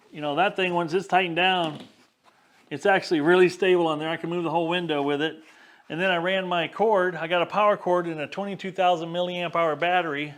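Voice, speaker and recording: A man's voice: on the high side, fairly bright tone. A man casually talking viewers through his boat gear setup in an upbeat, chatty way. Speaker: vlogger. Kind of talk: casual how-to vlog. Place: backyard workshop and boat.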